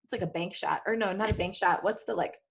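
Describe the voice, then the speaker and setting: A woman's voice: medium pitch, quick pace, creaky, crowd noise. A woman hosting a podcast, reading fan fiction aloud in a playful, giggly way with chatty asides. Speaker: podcast host.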